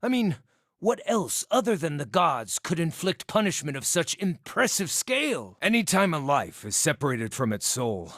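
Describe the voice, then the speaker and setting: A cheeky, scarred little druid outcast from a fantasy game. The voice sits fairly high for a male and swoops with lively intonation. The phrasing is wordy, wry and theatrical, recorded close and dry. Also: moderate pace, no echo, heavily acted. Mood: earnest. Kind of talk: video game character dialogue.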